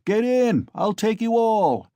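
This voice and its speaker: A man's voice: high-pitched, animated, measured pace, a little mellow, dry recording, voice pushed up. A man voicing a cruel, drunken young male peasant who bellows and rages. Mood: drunken bellowing. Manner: bellowing, raging.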